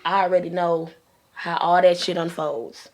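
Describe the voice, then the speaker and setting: A woman's voice: on the low side, little pitch movement, moderate pace, rough recording. An American woman on a podcast panel, chatting candidly in plain-spoken slang. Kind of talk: casual candid chat.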